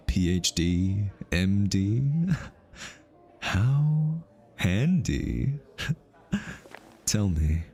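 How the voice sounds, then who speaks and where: A man plays a hitman undercover among high society in an ASMR roleplay. His voice is low and he takes his time over his words. He is pretentious and archly courteous, teasing with polished politeness while being easily flustered underneath. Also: creaky, a little mellow, heavily acted. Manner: arch, teasing, theatrically polite with halting hesitations. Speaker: voice actor.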